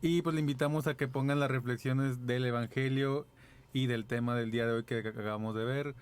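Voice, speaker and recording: A man's voice: mid-range voice, steady tone, fairly quick, smooth. Man co-host, jokey and laughing. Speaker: co-host of a parish program. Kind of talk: casual chat and prayer.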